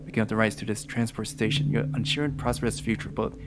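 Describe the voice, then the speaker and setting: Low, creaky-voiced man reading game narration. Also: steady tone, quick pace, heavy background noise. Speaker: host reading a game aloud with a group.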